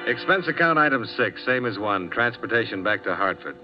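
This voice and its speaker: An American actor playing a weary, wry insurance investigator in a 1950s radio drama, speaking in a terse, dry way. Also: male voice, on the low side, animated, fairly quick, over loud music, lightly acted. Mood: weary, bitter. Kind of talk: 1950s radio drama acting with first-person narration. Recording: dull vintage 1950s radio recording.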